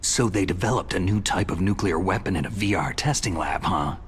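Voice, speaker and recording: An actor voicing a stoic, cynical middle-aged soldier in a video game, gravelly, terse and commanding. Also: male voice, deep voice, fairly quick. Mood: grim, probing. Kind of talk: dramatic video game voice acting. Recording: background music under dialogue.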